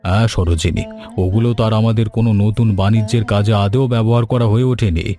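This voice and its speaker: A man voicing a kindly, steadfast village husband in an animated moral story, speaking earnestly and reassuringly. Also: fairly low pitch, fast, faint music behind, close to an everyday voice.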